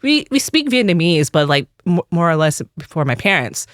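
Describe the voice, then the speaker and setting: A woman's voice: on the low side, sing-song, moderate pace, dry recording, clean studio sound. A woman in her forties from the United States, a religious sister telling her personal story in an interview, earnest and reflective.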